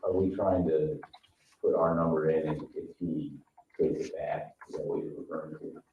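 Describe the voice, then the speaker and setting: A man's voice: extremely low pitch, flat delivery, normal speed, gravelly. A man talking through a point in discussion at a public meeting. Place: indoor public meeting room. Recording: echoey room, distant muffled mic, faint noise.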